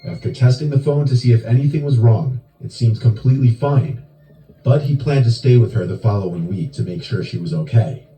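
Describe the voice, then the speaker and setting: Male narrator for scripted scary true stories, mid-pitched, with backing music. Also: fairly quick, warm tone. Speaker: horror story narrator. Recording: roomy echo with music behind. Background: background music.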